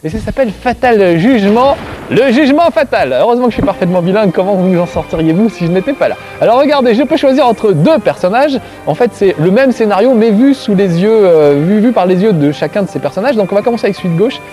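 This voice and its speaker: Corsican-accented male game-show host, self-mocking, high warm voice. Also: expressive, quick pace, soft background music. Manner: joking, self-mocking, chatty. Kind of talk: casual gameplay commentary to camera. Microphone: close framing, tight on face.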